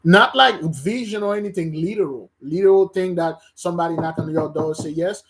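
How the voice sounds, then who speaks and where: A man's voice: fairly high pitch, brisk, a little hiss or hum. A man from Haiti, preaching informally online to his brethren, emphatic and repeating himself, often stumbling and restarting. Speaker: religious teacher.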